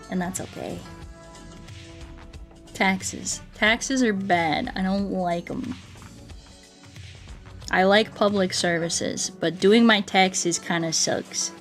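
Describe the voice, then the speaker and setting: A woman's voice: mid-range voice, slow pace, long pauses, soft background music. A woman livestreamer in casual, playful, rambling chat, answering her viewers aloud as she plays a game.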